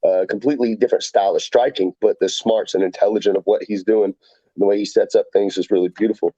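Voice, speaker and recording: A man guesting on a podcast, talking fast and rambling excitedly, swearing freely and acting out what others said. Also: on the low side, slightly rough, dry recording, dull audio. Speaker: guest fighter. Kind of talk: casual podcast chat.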